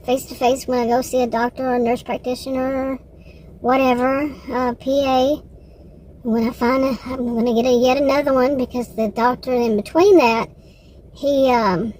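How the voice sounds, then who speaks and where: A woman with a Texan accent, rambling casually in a personal monologue, halting often, circling back and correcting herself. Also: a little high, darkish tone, slight background noise, low-quality recording. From Texas, United States. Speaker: vlogger.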